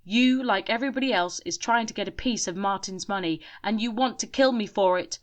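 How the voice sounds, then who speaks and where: A woman voicing a distraught, hysterical widow in a spoken story, shrill and frantic. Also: brisk, close to an everyday voice.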